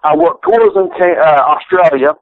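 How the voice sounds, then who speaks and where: A man's voice: fairly high pitch, normal speed, dry recording. Profane Southern man on a phone line. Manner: rambling, profane, folksy, restarts a lot. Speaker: retired pro wrestler guest. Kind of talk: candid storytelling interview. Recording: tinny phone line, dry.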